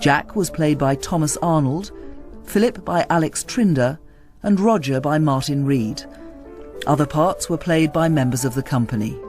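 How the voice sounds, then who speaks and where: A man's voice: on the high side, normal speed, a little mellow, faint music behind. A man with a British accent reading scripted credits as a radio announcer, formal and orderly.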